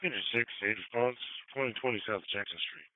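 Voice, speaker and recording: A man's voice: medium pitch, brisk, dry recording. A man giving terse emergency dispatch messages over a two-way radio. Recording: thin phone-like scanner radio.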